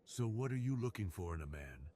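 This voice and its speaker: Man playing a suave, husky crime boss. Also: fairly low pitch, big pitch swings, fairly quick, mellow. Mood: confident, flirtatious. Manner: seductive, self-assured, teasing. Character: suave, flirtatious, dominant male crime boss. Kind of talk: ASMR roleplay.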